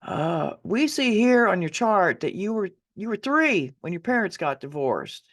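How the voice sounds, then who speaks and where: A woman voicing a hesitant, polite male amateur astrology reader with a halting delivery, in an audiobook memoir. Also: mid-range voice, very animated, pitched above the performer's own voice. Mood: tentative.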